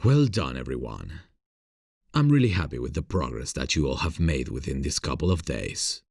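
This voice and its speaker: A man playing a gentle, encouraging theater director who is secretly smitten, in a breathy, gravelly scripted ASMR audio roleplay. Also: rumbling low, very animated, moderate pace, no room sound, clean studio sound, exaggerated voice. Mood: proud, encouraging. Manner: gentle, encouraging, soft chuckles. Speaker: voice actor.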